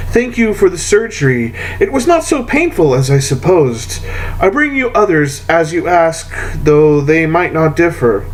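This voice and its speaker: A man with a fairly high voice reads old letters aloud very slowly, weighing each phrase. His delivery is deliberate and full of long pauses. A faint hum sits under the recording. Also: low-quality recording. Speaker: reader of letters. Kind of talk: slow dramatic reading of old letters.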